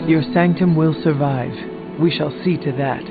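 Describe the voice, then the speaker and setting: A resolute, serious young-sounding hero of a video game talks in short, formal phrases, his voice set a little high. The audio is thin and band-limited, with clear music behind him. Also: moderate pace, narrow, tinny audio. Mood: steady, serious. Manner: formal, terse, plain-spoken. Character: solemn, resolute male warrior hero. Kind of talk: video game character dialogue.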